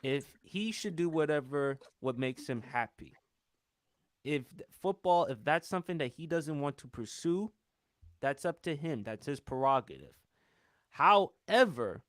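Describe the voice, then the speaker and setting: A man's voice: fairly high pitch, lively intonation, measured pace, no echo, studio quality. A man of thirty hosting a sports podcast, talking casually and laying out his opinions step by step. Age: 30s. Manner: opinionated, lays out arguments step by step. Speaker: podcast host.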